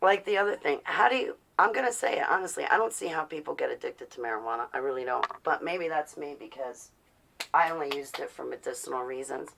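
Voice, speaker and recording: A woman's voice: low voice, animated, fast talker, gravelly, a bit bright, a little hiss or hum, low-quality recording. A woman in her forties with an American accent talking casually and bluntly, rambling in a defiant way with rhetorical questions. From New Jersey, United States. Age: 40s. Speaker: vlogger. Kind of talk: casual rambling personal talk.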